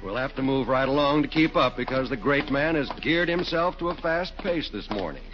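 A man's voice: mid-range voice, animated, a little fast. A man announcing on 1940s radio with emphatic, upbeat sponsor delivery. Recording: muffled old radio recording. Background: music.

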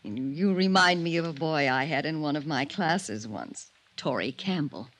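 An actress playing a gentle, delicate middle-aged schoolteacher in mourning, hesitant and wistful, in a 1950s radio drama. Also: female voice, low-pitched, animated, moderate pace.

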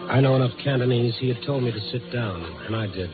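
An actor playing a tough, world-weary Chicago newspaper reporter in a 1950s radio noir drama, clipped and blunt. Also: male voice, mid-range voice, fast talker, close to an everyday voice. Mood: wary narration. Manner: hard-boiled, clipped, blunt. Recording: tinny 1950s radio recording. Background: music.

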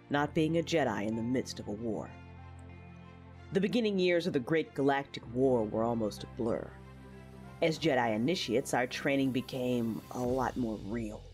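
A woman's voice: a bass voice, lively intonation, normal speed, a little mellow, soft background music. A woman playing a reflective, war-weary young female knight, narrating her memories earnestly in an audio drama. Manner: earnest, reminiscing.

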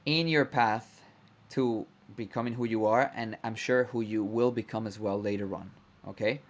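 A man hosting a podcast, chatting casually and warmly echoing his guest's words back. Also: on the low side, fairly even intonation, moderate pace. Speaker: podcast host. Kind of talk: casual interview chat.

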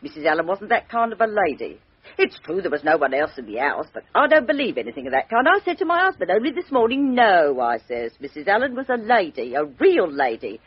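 A woman's voice: fairly low pitch, very animated, brisk, husky. A woman playing a chatty, gossipy landlady who calls everyone sir, in a dull-sounding old radio drama recording. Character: chatty gossipy working-class London landlady, middle-aged. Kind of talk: 1950s radio drama acting.

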